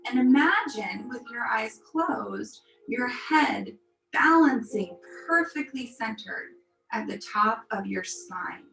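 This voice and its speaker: A woman teaching yoga, leading a guided meditation in a soothing, unhurried, gently instructive manner. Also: on the high side, lively intonation, creaky. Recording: roomy echo, music behind. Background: music.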